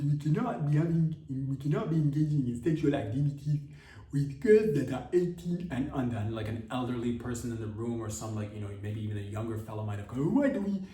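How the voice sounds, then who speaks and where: A man's voice: medium pitch, mellow. A man hosting a comedy podcast, rambling through a loose monologue full of restarts and asides. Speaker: podcast host. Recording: roomy, distant from mic.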